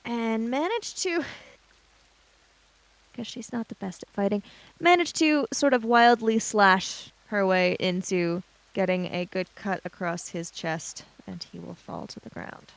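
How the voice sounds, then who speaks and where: A woman's voice: mid-range voice, a little slow, vocal fry, rough recording. Female tabletop player, mid-range voice, casual conversational delivery with improvised story narration. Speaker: player in a tabletop roleplaying game podcast.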